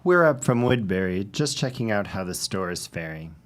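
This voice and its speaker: A man's voice: lowish voice, big pitch swings, normal speed. A man playing a snide small-town gossip who keeps a tea shop in a period audio drama, with a dry, arch manner.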